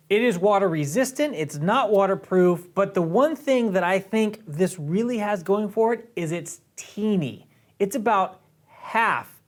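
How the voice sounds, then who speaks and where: An American man in his thirties presenting a product overview to camera in a casual, explanatory way. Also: sits high. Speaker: product reviewer and presenter for a motorcycle gear shop. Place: indoor video studio set.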